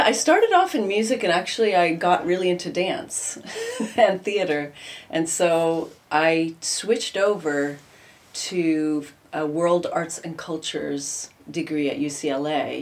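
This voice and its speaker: A woman, her Hungarian, chatting in an interview. Her voice sits low for a woman, and she talks easily and warmly, laughing often as she tells her story. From United States. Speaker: interview guest, opera singer. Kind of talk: casual interview conversation.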